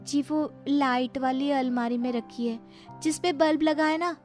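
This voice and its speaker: A woman's voice: high voice, steady tone, a little slow, music in the background, voice pushed up. A woman voicing a naive, earnest young village daughter-in-law in a cartoon story, simple and polite to her elders. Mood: polite, explaining. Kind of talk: Hindi cartoon storybook dialogue.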